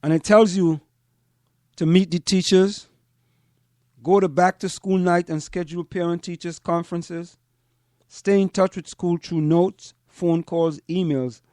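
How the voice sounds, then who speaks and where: A man from Trinidad and Tobago, speaking as a show host in deliberate commentary and repeating phrases for emphasis. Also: high-pitched, steady tone, a little mellow, no echo, studio quality. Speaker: host of a community parents' talk show. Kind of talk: spoken commentary to listeners.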